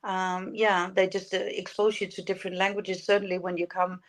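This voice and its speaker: An older woman from Denmark, whose first language is Danish, speaks as a podcast guest. Her voice sits at a medium pitch. She talks casually and hesitantly, thinking aloud as she goes. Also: level tone, a little fast. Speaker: podcast guest, lifestyle coach. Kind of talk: casual interview talk.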